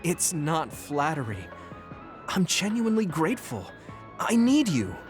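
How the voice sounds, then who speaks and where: A man's voice: on the high side, huge pitch swings, measured pace, soft background music. An actor playing an earnest, supportive and self-assured teenage boy soldier in a video game. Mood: earnest, grateful. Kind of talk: English video game voice acting.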